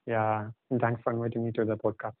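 A man with an Indian English accent, a native Telugu speaker, telling travel stories as a podcast guest in a halting, hesitant way. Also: medium pitch, completely flat delivery, slight background noise. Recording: dry, tinny phone-like line.

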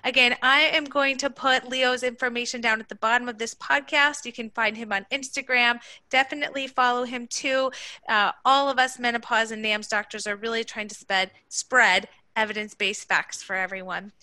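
Bright-toned woman podcast host. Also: on the high side, steady tone, moderate pace, dry recording. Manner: warm, affirming, conversational. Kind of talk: podcast interview. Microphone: remote call from her kitchen.

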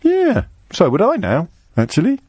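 An English man hosting a live radio phone-in, chatty and bantering, quick to jump in. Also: sits high, huge pitch swings, darkish tone, slightly rough, no room sound.

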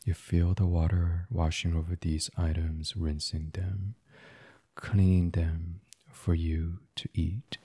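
A man with a low, very breathy and husky voice leads a sleep meditation. He speaks gently and very slowly in an ASMR style. The sound is dry and close. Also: level tone, no echo. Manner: gentle, soothing, unhurried, breathy. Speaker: guided meditation host. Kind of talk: soft guided sleep meditation, ASMR reading.

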